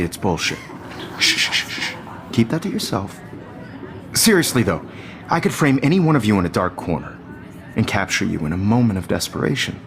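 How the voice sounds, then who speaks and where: Game role: self-assured teacher, animated intonation, glib classroom lecturing, slight background noise. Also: male voice, mid-range voice. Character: hip, self-assured male photography teacher.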